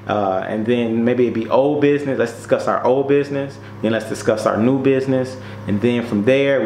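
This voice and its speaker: A man with a mid-pitched voice presents practical tips in an informal, instructional way. He addresses the listener directly and repeats points for emphasis. The recording is distinctly roomy and echoey, with a faint hum behind him. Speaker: presenter giving business tips. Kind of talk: informal how-to talk, numbered tips.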